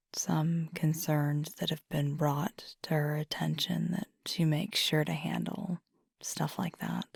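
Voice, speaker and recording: A woman acts out a fiery but tender werewolf girlfriend in an intimate ASMR roleplay. Her voice is low and heavily creaky, often slipping into a breathy near-whisper. She talks slowly and casually to her partner, pausing as she thinks, with an affectionate, teasing streak. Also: a little mellow, no echo, clean studio sound, heavily acted. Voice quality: breathy, husky, gravelly fry. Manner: casual, unhurried, hesitant pauses. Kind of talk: ASMR roleplay, soft intimate half-whispered talk to listener.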